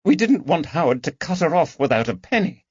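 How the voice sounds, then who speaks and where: A man's voice: a little high, big pitch swings, fairly quick, darkish tone, husky, dry recording, highs cut off, pitched above the performer's own voice. A man voicing a frightened, resentful young swindler in an audiobook, defensive and pleading. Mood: pleading, aggrieved. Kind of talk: audiobook character dialogue.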